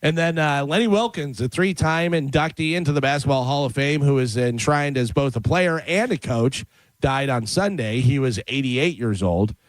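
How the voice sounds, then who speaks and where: A middle-aged man from Chicago hosting a radio show, telling animated stories and reading headlines between jokes. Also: medium pitch, normal speed, no echo, poor audio. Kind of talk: casual morning radio banter. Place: radio studio. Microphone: studio microphone.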